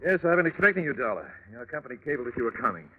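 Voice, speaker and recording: An actor playing an earnest, long-winded gentleman art organizer, speaking formally and self-importantly in 1940s radio drama style. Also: mid-range voice, very animated, rapid-fire. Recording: tinny, noisy vintage radio recording.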